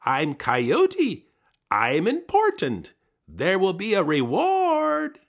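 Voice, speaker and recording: A man voicing a boastful, bossy male coyote who shouts and commands. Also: sits high, huge pitch swings, drawn-out words, voice pushed up, full character voice.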